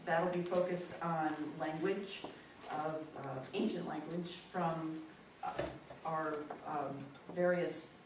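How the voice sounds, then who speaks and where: An older woman hosting an event, making informal announcements to an audience in a hesitant, halting way. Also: low-pitched, fairly even intonation, far from the mic. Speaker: event host introducing a speaker. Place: indoor meeting room. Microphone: distant camera microphone. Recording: echoey, noisy room recorded from afar.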